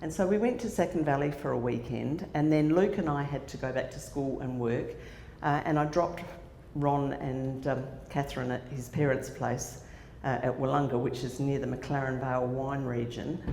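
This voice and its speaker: A woman's voice: extremely low pitch, steady tone, moderate pace, vocal fry, dark tone. A woman in her sixties with an Australian accent, telling a personal story to a conference audience, with fillers and restarts along the way. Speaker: conference speaker. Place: conference hall. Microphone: handheld microphone. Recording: echoey hall with faint noise.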